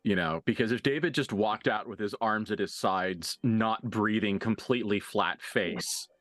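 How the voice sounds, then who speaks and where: Low-voiced man narrating a tabletop game. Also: normal speed, a bit bright, no room sound. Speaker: game master. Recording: dry voice over background music.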